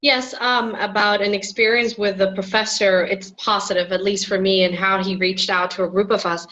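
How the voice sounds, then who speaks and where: A woman's voice: medium pitch, little pitch movement, normal speed, fairly bright tone, muffled recording. A woman from Puerto Rico sharing her experience in a workshop discussion, frank and wry. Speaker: workshop participant. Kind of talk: casual group discussion.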